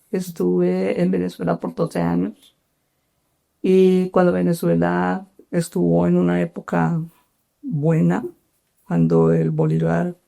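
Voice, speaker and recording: Gravelly-voiced Colombian woman, halting speech. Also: lowish voice, warm tone. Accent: Colombian (Cali). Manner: hesitant, halting with many fillers and restarts. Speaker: interview guest. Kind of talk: personal storytelling interview.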